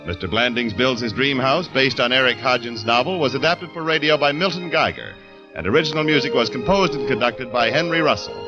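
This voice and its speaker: A man announcing on old-time radio, reading sponsor copy and credits in a smooth, formal delivery. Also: mid-range voice, lively intonation, a little fast, dull audio. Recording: old radio broadcast with music.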